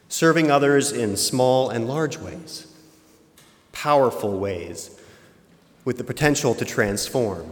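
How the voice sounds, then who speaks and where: A man chairing an alumni board, giving a slow, solemn ceremonial address. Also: medium pitch. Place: large auditorium. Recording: echoey auditorium with long reverb.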